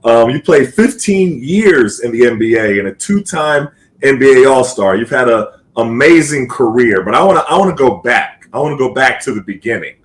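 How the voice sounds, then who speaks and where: A man's voice: mid-range voice, lively intonation, normal speed, poor audio. A man hosting an interview podcast, asking long, earnest questions with many fillers. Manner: earnest, lots of fillers and restarts. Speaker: podcast host.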